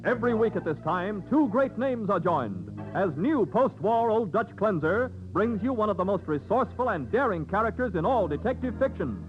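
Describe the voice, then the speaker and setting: Male vintage radio announcer, high voice, emphatic, polished, music behind, muffled recording. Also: sing-song, normal speed, hardly any pauses. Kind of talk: 1940s radio announcing, scripted.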